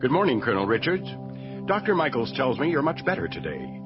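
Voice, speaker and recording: A man playing a calm, courteous, authoritative rebel general and father in a science fiction radio drama. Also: mid-range voice, fairly quick, lightly acted. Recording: dull lo-fi audio with music behind.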